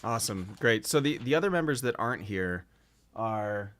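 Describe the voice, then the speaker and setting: A man's voice: fairly low pitch, lively intonation, normal speed, fairly bright tone. A man hosting a casual video chat, talking in a rambling, hesitant way with lots of fillers. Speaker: podcast host.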